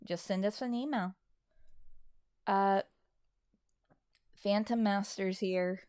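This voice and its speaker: A woman's voice: mid-range voice, fairly even intonation, slow pace, a little mellow, clean tone, no room sound, studio quality. A woman co-hosting a casual livestream, chatting and answering questions from viewers. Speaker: co-host of a livestream.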